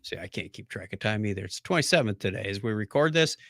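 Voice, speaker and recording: A man's voice: fairly low pitch, animated, fast talker, fairly bright tone, no room sound, clean studio sound. A man hosting a podcast in a casual, jokey, conversational way. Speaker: podcast host.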